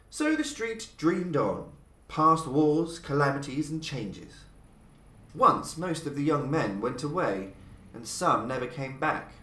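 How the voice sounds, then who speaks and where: A man reads an old tale aloud with measured, dramatic pacing. His pitch sits a little high and rises and falls widely from phrase to phrase. The room around him gives the voice a clear echo. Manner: measured, dramatic delivery with lively pitch swings. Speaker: podcast host and reader. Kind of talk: scripted storytelling narration.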